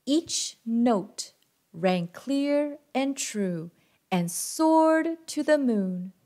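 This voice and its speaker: A woman reading a picture book aloud to children as host and narrator, expressive and deliberate in her delivery. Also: a little high.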